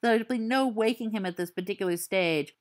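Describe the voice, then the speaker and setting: A woman reading a fan-written story aloud, with expressive, suspenseful storytelling. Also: medium pitch, fairly quick. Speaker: audiobook reader.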